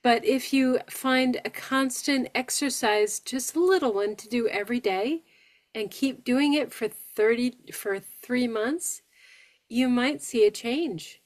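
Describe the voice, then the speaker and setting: Woman with aphasia, from New York State, sharing her story on a video call; measured, careful articulation. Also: on the high side. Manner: slow, deliberate speech with careful pronunciation after aphasia. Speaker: support group guest speaker. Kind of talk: sharing personal experience with a support group.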